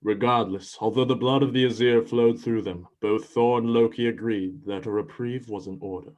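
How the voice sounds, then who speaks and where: A man's voice: mid-range voice, fairly even intonation, moderate pace, a little mellow, highs cut off. A man with a mid-range voice serves as narrator. He reads scripted, dramatic prose in the manner of a mythic storyteller.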